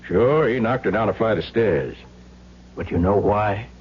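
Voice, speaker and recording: A man's voice: lowish voice, close to an everyday voice. An American man playing a skeptical police lieutenant in an old radio crime drama, questioning with dry, knowing sarcasm. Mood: sardonic, knowing. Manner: deliberate, dryly sarcastic, knowing. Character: skeptical, sardonic male police lieutenant interrogating a suspect. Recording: dull, noisy old radio recording with hum.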